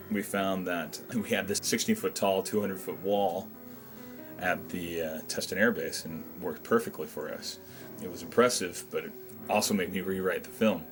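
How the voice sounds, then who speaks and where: A man speaking as a film director, giving measured, earnest behind-the-scenes commentary. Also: deep voice.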